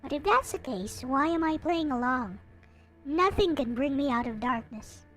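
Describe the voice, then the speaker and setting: High, animated woman reading a story aloud. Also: moderate pace. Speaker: video host reading a visual novel aloud. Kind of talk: expressive dramatic read-aloud with casual commentary. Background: background music.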